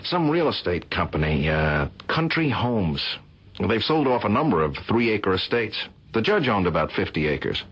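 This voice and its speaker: An actor playing an amiable, earnest young art student with an easygoing manner in an old-style radio drama. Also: male voice, lowish voice, very animated, normal speed, a bit bright, husky, exaggerated voice. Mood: friendly, upbeat. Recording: dull, lo-fi radio drama recording.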